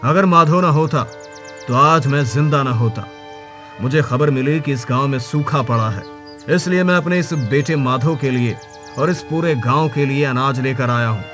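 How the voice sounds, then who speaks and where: A man's voice: mid-range voice, moderate pace, a bit bright, faint music behind, pitched below the performer's own voice, natural voice. A man voicing a dignified old merchant with a solemn, fatherly manner in a Hindi moral cartoon story. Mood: commanding, solemn. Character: grateful, dignified old male merchant traveller.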